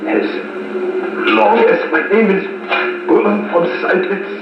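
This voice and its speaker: An actor playing a wounded, vengeful fighter in a 1950s radio drama, muttering in halting broken phrases on an old recording. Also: male voice, high voice, music in the background. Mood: grimly determined. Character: wounded, vengeful German fighter stalking his enemy. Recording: echoey, noisy 1950s wire recording, tinny.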